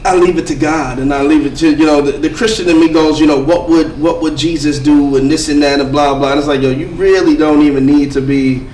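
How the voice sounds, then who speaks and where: A man's voice: a little high, fairly even intonation, brisk, hardly any pauses, a little mellow. A man co-hosting a podcast, talking casually in a loose, joking, profane way. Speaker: podcast co-host. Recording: roomy, distant mic, faint background hum.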